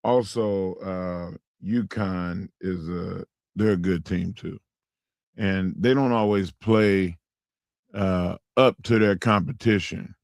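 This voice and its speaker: A hoarse man with a low voice co-hosts sports talk radio, halting and restarting his sentences often. The studio mic keeps his voice close and dry. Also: steady tone, dry recording. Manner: halting, many fillers and restarts. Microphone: studio broadcast mic.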